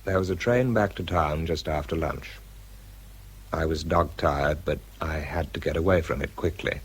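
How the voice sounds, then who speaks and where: A man's voice: extremely low pitch, normal speed, husky. An actor playing an exhausted, self-doubting British bomb disposal man in a radio drama, introspective and understated in narration and dialogue. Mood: weary, reflective.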